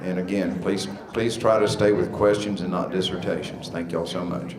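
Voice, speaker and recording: Low-voiced man moderating a county meeting, polite and folksy. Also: steady tone, normal speed, crowd noise. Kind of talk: addressing an audience.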